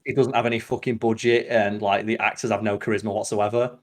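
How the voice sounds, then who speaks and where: A man's voice: on the low side, flat delivery, fast. A man hosting a casual podcast chat, opinionated and sweary. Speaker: podcast host.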